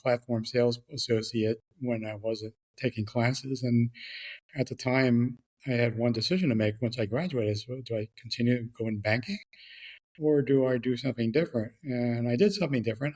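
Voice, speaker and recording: Halting middle-aged man from the Bronx. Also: medium pitch, steady tone, darkish tone, low-quality recording. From New York, the Bronx. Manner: halting, many fillers and repeated words. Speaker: guest, regulatory affairs expert. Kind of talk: casual interview chat.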